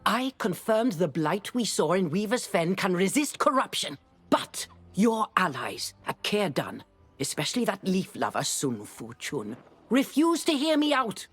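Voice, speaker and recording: A woman's voice: mid-range voice, sing-song, measured pace, slightly rough. An actress playing a brash, impatient female scholar in a video game, speaking in a theatrical, haughty, emphatic way. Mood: eager, exasperated. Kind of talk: video game character dialogue.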